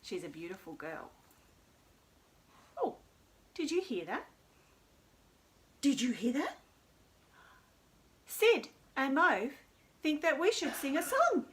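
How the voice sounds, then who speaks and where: A woman presents a children's storytime in a fairly high voice. She speaks very slowly and in simple phrases, with an encouraging, sing-song delivery aimed at small listeners. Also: big pitch swings, a bit bright. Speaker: children's storytime host at a library.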